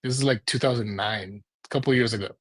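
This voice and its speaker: A man's voice: medium pitch, brisk, a bit bright, husky. A man in his thirties from Mexico who grew up in Houston, speaking as an interview guest and telling personal stories in an informal, rambling way with rhetorical questions. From Mexico, moved to Houston as a child. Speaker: urban planner, interview guest. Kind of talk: conversational personal storytelling in an interview.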